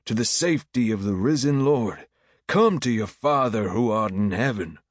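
Guy doing a fired-up old-time revival preacher, big commanding drawn-out oratory, storybook reading, dry sound. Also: on the low side, dry recording. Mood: urgent, pleading.

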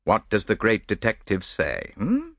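A man voicing an earnest, romantic gentleman, eager and exclaiming. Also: lowish voice, huge pitch swings, unhurried, dry recording, clean studio sound, pitched above the performer's own voice, full character voice.

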